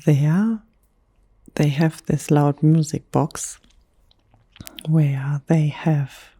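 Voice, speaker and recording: A German woman, a native German speaker talking in English, whispering softly in a gentle ASMR chat. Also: low-pitched, flat delivery, breathy edge, dry recording. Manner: soft, slow, unhurried whisper with gentle pauses. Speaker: ASMR creator.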